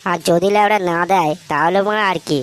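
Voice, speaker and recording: A woman's voice: fairly low pitch, fairly quick, close to an everyday voice. A woman voicing a pushy, foul-mouthed young lad who wheedles as a sidekick in a comedy cartoon. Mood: scheming. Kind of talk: Bengali comedy cartoon voice acting. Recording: noisy audio with clicks.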